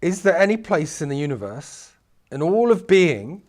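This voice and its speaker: A man's voice: a little high, very animated, moderate pace, a little mellow. A man playing a flustered, brainy mortal who bargains for his soul, hesitant and incredulous, in a dramatic script reading. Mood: hesitant, puzzled.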